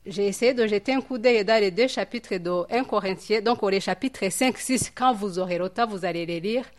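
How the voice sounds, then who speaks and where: A woman's voice: mid-range voice, moderate pace. A woman from Rwanda leading a Bible study in French. She talks in a hesitant, reflective way, with many fillers and repeated words. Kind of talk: informal Bible teaching.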